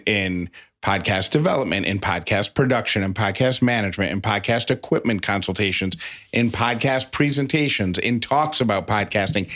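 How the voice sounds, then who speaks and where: A man's voice: mid-range voice, fairly bright tone. A man co-hosting a podcast in casual conversation, often rephrasing himself as he goes. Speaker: podcast co-host.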